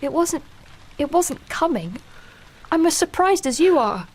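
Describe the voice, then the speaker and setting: An actress playing a young woman ending her engagement, halting and apologetic in a radio drama. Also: high voice, very animated. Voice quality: halting, soft-spoken, full of restarts. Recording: faint rain noise behind. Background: rain.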